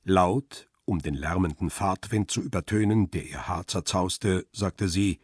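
An actor playing a middle-aged, world-weary male photographer who narrates a radio crime drama in a measured, reflective way. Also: deep voice, slightly rough, dry recording, clean studio sound. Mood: observant, calm. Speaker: first-person narrator and lead character.

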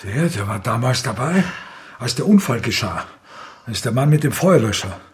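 A man's voice: mid-range voice, sing-song, normal speed, close to an everyday voice. Casting: actor for tense, driven man in crime radio play, middle register, insistent delivery. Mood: agitated. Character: agitated, obsessed man hunting for a missing woman.

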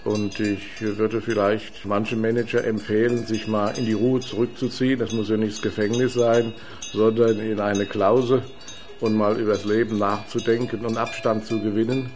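A man speaking reflectively, as in an interview, giving gentle advice. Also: lowish voice, level tone, moderate pace.

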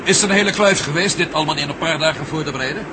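An actor playing a genial, curious expedition leader and former astronaut in a Dutch radio play, chatty and gently wry. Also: male voice, fairly high pitch, rapid-fire, close to an everyday voice. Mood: amiable teasing. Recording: noisy radio drama, vehicle hum behind.

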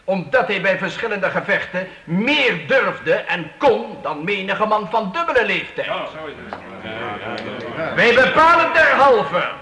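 An actor playing a jovial, commanding medieval count in a youth radio play, speaking in a stately, formal way. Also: male voice, very high voice, sharp tone, heavily acted. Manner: stately, formal royal-we phrasing. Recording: roomy, echoing radio play recording.

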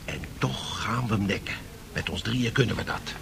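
An actor playing a ruthless, scornful financier in a 1970s radio drama, dry and sardonic. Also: male voice, on the low side, normal speed, gravelly, fairly bright tone, slight background noise. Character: ruthless, scornful male financier plotting a rival's ruin.

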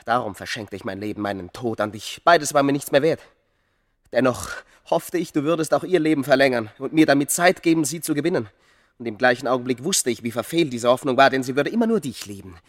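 A man's voice: medium pitch, expressive, a little fast, no room sound, lightly acted. An actor playing a bitter, despairing Egyptian man rejected in love, brooding and emphatic in a radio drama.

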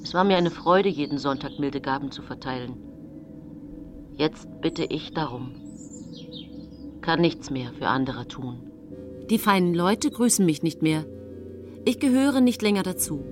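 An actress playing an impoverished, bitter widow turned vengeful poisoner in a radio play, with an intense, confessional delivery. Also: female voice, sits low, a little mellow. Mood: pleading. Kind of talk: dramatic radio play monologue.

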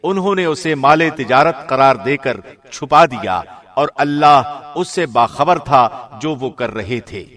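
A man's voice: mid-range voice, lively intonation, a bit bright. A man reading a scripted translation of scripture as a professional radio presenter. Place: studio.

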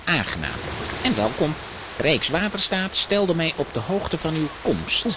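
An actor playing a courteous, proud and easily piqued engineer in a children's audio drama, formal and explanatory. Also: male voice, fairly high pitch, sing-song, unhurried. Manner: formal, explanatory, self-important. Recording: noisy audio drama recording, hissing ambience.

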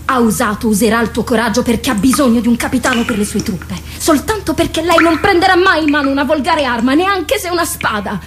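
A woman's voice: sits high, expressive, fairly quick, few pauses, music in the background. An actress playing a protective, jealous dead woman in a radio drama, pleading and reproachful, with intense theatrical delivery. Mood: bitter, scornful. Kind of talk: Italian radio drama acting. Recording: clearly noisy radio drama recording.

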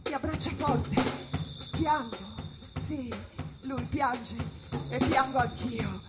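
An actress playing a weary, proud, heavy-bodied male jazz musician in a theatrical monologue, with rhythmic, repeated phrases. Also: sits high. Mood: sorrowful. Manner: rhythmic, repetitive, drawn-out phrases. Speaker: stage actor. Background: jazz music and percussion.

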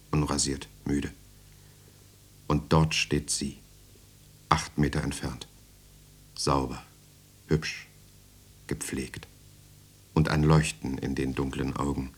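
An actor playing a weary political prisoner who narrates his story in measured, intimate short phrases in a radio play. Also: very deep voice, slightly rough, heavily acted. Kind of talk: scripted dramatic narration.

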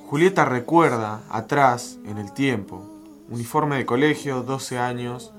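A narrator reading a scripted radio drama in measured, clipped phrases. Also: medium pitch, soft background music, natural voice.

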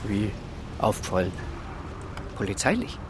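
A man's voice: huge pitch swings, measured pace. An actor playing a plain-spoken small-town policeman with a Bavarian accent, folksy and colloquial, in a radio crime drama. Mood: folksy, subdued. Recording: rumbling noise behind voice.

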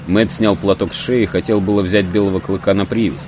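A man's voice: low voice, steady tone, quick pace, over music. A man narrating a story as a scripted dramatic audiobook. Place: studio.

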